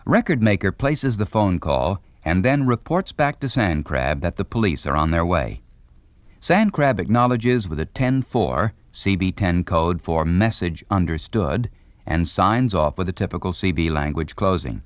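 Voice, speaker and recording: Low, animated male instructional narrator. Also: measured pace, no echo. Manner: polished, formal announcer delivery.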